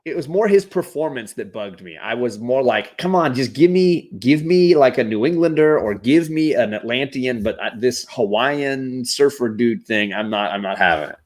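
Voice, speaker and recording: Guy on a casual panel chat, picky and opinionated, medium pitch, repeats himself to make a point. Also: expressive, moderate pace, few pauses. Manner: opinionated, nitpicking, repeats words for emphasis. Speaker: panelist in a live comics chat. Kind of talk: casual pop-culture discussion.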